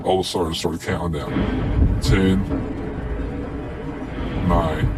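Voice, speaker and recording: A man's voice: low voice, little pitch movement, slow, loud music behind the voice. A man telling, in earnest documentary testimony, how a spirit board scared him. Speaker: interviewee recounting a paranormal experience.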